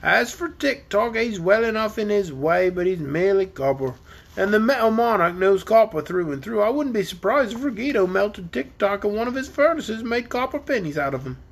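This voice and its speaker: A woman voicing a gruff, boastful young male dragon in a storybook reading. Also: medium pitch, animated, brisk. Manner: sneering, blunt. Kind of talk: audiobook character reading.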